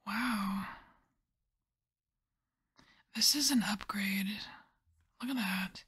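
Breathy whispering woman reading tarot. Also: mid-range voice. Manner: soft whispering, musing and unhurried. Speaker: tarot reader.

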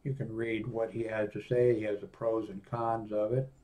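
Older man with a lowish voice, rambling review. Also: little pitch movement, dark tone, poor audio. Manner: rambling, halting, reads aloud from a screen. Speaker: product reviewer, vlogger. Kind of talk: casual unscripted product review. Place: small indoor home office room.

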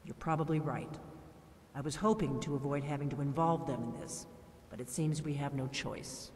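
Blunt warrior, distant in echoing hall. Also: female voice, extremely low pitch, moderate pace, darkish tone. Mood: resigned, businesslike. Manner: curt, businesslike. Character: blunt, impatient female warrior, secretive and commanding. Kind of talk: video game character dialogue. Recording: distant, echoey hall with faint noise.

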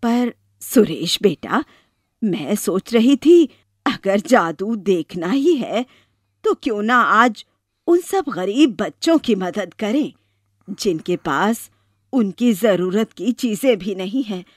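A woman voicing a wistful, curious elderly village mother-in-law in an animated story, in a musing manner. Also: medium pitch, takes it slowly, dry recording, studio quality. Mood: amazed, kindly. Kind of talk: animated moral story voice acting.

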